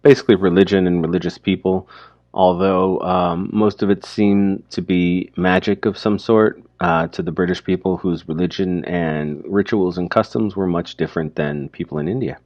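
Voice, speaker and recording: A man's voice: sits low, fairly even intonation, moderate pace, a little mellow, dry recording, highs cut off. A man explaining a short story in an informal, colloquial teaching style, checking in with pointed questions.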